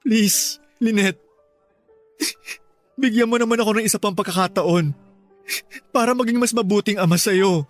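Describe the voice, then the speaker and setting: A man plays a guilt-ridden middle-aged Filipino father in a radio drama. His fairly high voice is earnest and imploring, with music playing behind it. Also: normal speed, pauses often, dry recording, lightly acted. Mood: anguished, begging.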